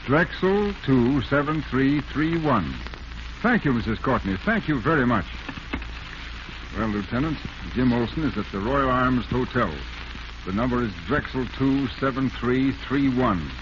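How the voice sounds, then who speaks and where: A man's voice: mid-range voice, lively intonation, unhurried, close to an everyday voice. Man acting a cornered, stammering murder suspect. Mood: anxious, eager. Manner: hesitant, stammering. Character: cornered, nervous male murder suspect pleading innocence. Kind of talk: 1940s radio acting. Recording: muffled, noisy vintage radio recording.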